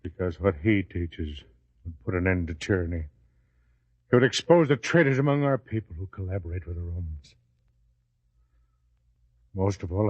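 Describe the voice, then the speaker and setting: A brooding, weary disciple with a very low voice, guarded and terse. It is radio drama acting on a dull, lo-fi recording. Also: male voice, husky, heavily acted. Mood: grave, guarded. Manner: hushed, terse, heavy-hearted. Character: weary, secretive, brooding adult male disciple.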